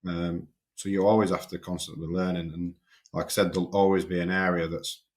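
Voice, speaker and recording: A young man with a Yorkshire accent speaks in a casual podcast interview. His voice sits very low and stays flat and even, and he talks haltingly, often repeating words as he restarts sentences. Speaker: strength and conditioning coach, podcast guest.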